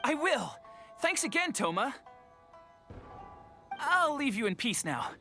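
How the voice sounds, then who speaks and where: A woman voicing a cheerful, polite, high-energy young customer in a video game. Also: big pitch swings, slow pace, bright, forceful tone, full character voice. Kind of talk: video game character dialogue. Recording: music behind the voice.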